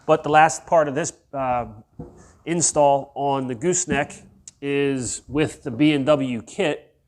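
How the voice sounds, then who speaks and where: A man's voice: medium pitch, slow. A man in his thirties with a congested, nasal voice, casually explaining his truck build as a DIY vlogger in his home garage, rambling with loose fillers. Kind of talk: casual workshop vlog.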